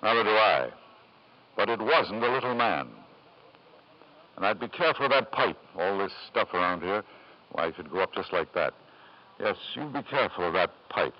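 A man's voice: lowish voice, expressive, moderate pace, pauses often, noisy background, close to an everyday voice. An actor playing an ambitious, scheming father in 1950s radio drama, persuasive and theatrical, on an old broadcast recording. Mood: sly, insinuating. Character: ambitious, scheming father, smooth-talking and desperately gambling. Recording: dull lo-fi vintage radio broadcast.

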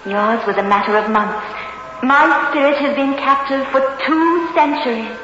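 A woman playing a sad, gentle ghost, slow and solemn, in an old radio horror drama. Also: high voice, a little hiss or hum. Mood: solemn, wistful. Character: sad, gentle female ghost captive for two centuries. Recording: dull old radio, cavernous echo, music behind.

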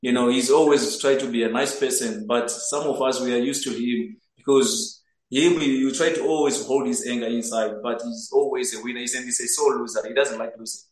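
Young man from Zimbabwe, goalkeeper being interviewed, steady monotone delivery, echoey room. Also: medium pitch, normal speed. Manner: earnest, repeats words while searching for them. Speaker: footballer, goalkeeper. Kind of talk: interview answers over a remote link.

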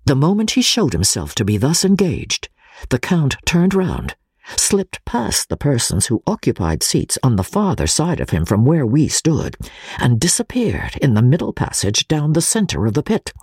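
A woman's voice: a bass voice, a little mellow, breathy edge, no echo, clean studio sound. A woman narrating classic fiction as a dramatic, suspenseful audiobook reading with a theatrical delivery.

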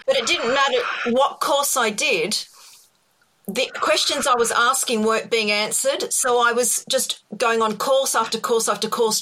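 A woman's voice: fairly high pitch, bright, forceful tone. A middle-aged woman with an Australian accent, talking casually as an interview guest with many ums and restarts. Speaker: podcast guest, colour psychology expert.

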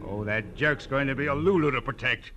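A man's voice: medium pitch, a little fast, sharp tone, close to an everyday voice. An American man playing a scheming, cynical private detective in a 1940s radio crime drama, slangy and smug. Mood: suspicious. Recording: noisy vintage radio recording.

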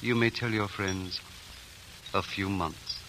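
An actor playing a calm, courteous and evasive male envoy from a mountain monastery in a 1940s radio drama. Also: fairly low pitch, slow pace. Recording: noisy old radio broadcast.

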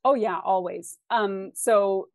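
A woman's voice: mid-range voice, slow, a little mellow, dry recording, clean studio sound. A woman with a West Coast American accent, explaining her ideas thoughtfully and articulately as an interview guest, often posing questions as she goes. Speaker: guest author.

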